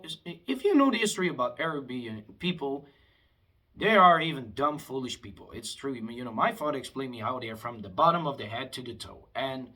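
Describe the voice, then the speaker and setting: A man's voice: mid-range voice, sharp tone, breathy edge, poor audio. A man, delivering a heated, rambling vlog monologue full of restarts. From Afghanistan. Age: 20s. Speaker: vlogger.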